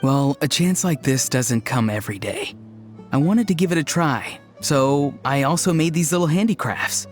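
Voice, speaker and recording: An actor playing an earnest, odd young tribesman in a video game, deadpan and matter-of-fact. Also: on the high side, moderate pace, faint music behind, natural voice.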